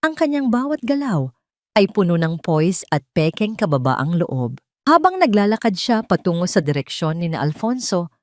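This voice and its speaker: Low-voiced Filipino woman narrating drama. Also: very animated, moderate pace, a little mellow, no room sound, clean studio sound. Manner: solemn, dramatic storytelling. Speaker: audio story narrator. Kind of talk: dramatic scripted narration of an emotional story.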